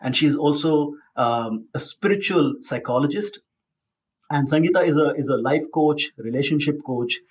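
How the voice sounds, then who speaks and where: A man's voice: fairly high pitch, a little hiss or hum. Upbeat man hosting a podcast. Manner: upbeat, emphatic, drawn-out phrasing. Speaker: podcast host.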